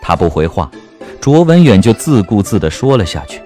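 A man's voice: on the low side, sing-song, moderate pace, warm tone. A man narrating a comic period novel as an audiobook, with a wry, theatrical delivery. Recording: music bed under the voice.

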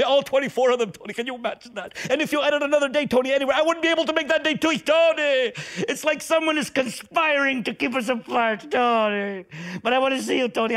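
Gushing department-chair professor, melodramatic and fast-talking, dropping Italian phrases, put on by a man. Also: very high-pitched, fairly bright tone. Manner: effusive, pleading, repeats the listener's name constantly; sprinkles in Italian and Latin phrases. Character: gushing middle-aged male professor, melodramatic.

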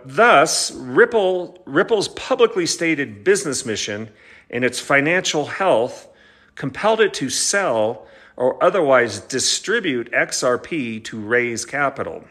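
A man's voice: medium pitch, fairly bright tone. A middle-aged man with an American accent, an attorney reading a legal document aloud with brief asides in a stop-and-start delivery. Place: large indoor living room with high ceiling.

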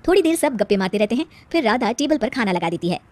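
Female storyteller for children's tales, brisk pace, high and lively pitch. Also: very noisy. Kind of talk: scripted narration of a children's story.

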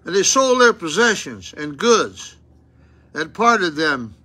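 An older man preaching an informal sermon in a small church, folksy and loud, asking the room rhetorical questions. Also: on the high side, very animated, takes it slowly. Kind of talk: informal sermon with Bible reading. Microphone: phone camera close up.

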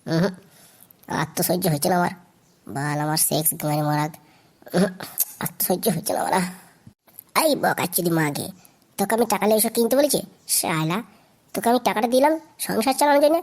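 A woman's voice: fairly low pitch, a little mellow, close to an everyday voice. A woman voicing a crude, blustering village husband in a comedy cartoon. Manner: blustering, crude, wheedling turning abusive. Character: hot-tempered, crude, boozing Bengali village husband.

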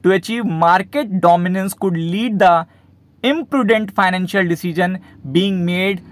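Man, Indian accent, high voice, dry close sound, explanatory market commentary, repetitive, English terms mixed in. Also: very slow, dry recording. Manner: repeats himself, mixes Hindi with English terms. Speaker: stock market video host.

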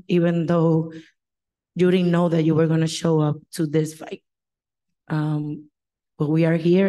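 Slow, low-voiced woman from the Dominican Republic. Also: warm tone. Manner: measured, many pauses and fillers. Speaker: panelist. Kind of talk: panel discussion. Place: large conference stage.

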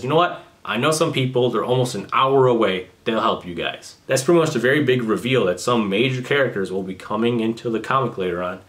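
A man's voice: medium pitch, fairly bright tone. A young man recording a casual commentary video in a small bedroom. He is sarcastic and swears a lot as he mocks what he is reviewing. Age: young adult. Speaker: commentary youtuber.

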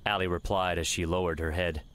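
A man's voice: low voice, level tone, a little fast, a bit bright, a little hiss or hum. A man narrating a scripted story in the third person as an audiobook reader.

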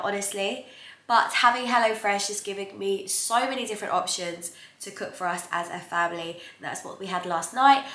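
A woman's voice: mid-range voice, fairly bright tone, roomy sound. A woman in her twenties vlogging casually to camera in her living room, sounding blocked up with a cold and laughing lightly between phrases. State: congested with a cold. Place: bright living room, indoors.

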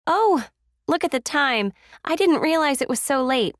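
A friendly, complimentary woman makes scripted small talk. Her voice is high and very lively in melody, recorded dry. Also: normal speed, no echo. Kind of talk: scripted English listening-exercise dialogue.

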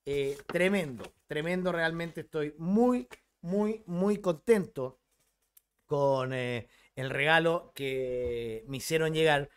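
A man in his fifties from Chile with a Chilean accent, hosting a casual unboxing video from a dark home studio on a headset mic, eager and repetitive with many hesitations. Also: fairly high pitch, slow, smooth. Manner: enthusiastic, repetitive, frequent hesitations. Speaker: YouTube host.

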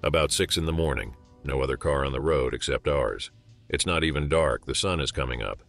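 A man calmly reading out a story. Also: a bass voice, a little fast, vocal fry, faint music behind. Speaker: narrator. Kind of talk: scripted narration of a reader story.

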